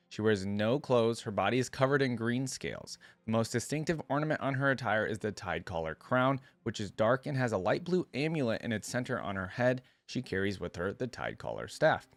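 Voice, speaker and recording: Guy with a lowish voice doing a reaction vid, informal, musing as he goes, really dry sound. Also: dry recording. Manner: informal, musing, thinking aloud. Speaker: reaction video host. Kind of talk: casual reaction commentary with reading aloud.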